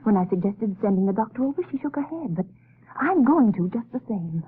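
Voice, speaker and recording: A woman's voice: mid-range voice, sing-song, brisk, slightly rough. An actress playing a worried young woman, earnest and anxious in a 1930s radio drama. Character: Margo Lane, worried young woman friend. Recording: tinny 1930s radio broadcast, faint hiss.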